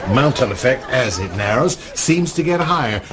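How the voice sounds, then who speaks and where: An actor playing a commanding, businesslike boss briefing a criminal crew in a radio drama, clipped and authoritative. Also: male voice, medium pitch, lively intonation, moderate pace, a bit bright, natural voice. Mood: briefing, cool. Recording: noisy ambience behind the voice.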